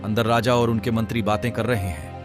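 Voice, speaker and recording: A man's voice: lowish voice, fairly even intonation, brisk, over music, lightly acted. A man playing an apologetic, fearful palace guard in a devotional cartoon story. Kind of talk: devotional animated story acting.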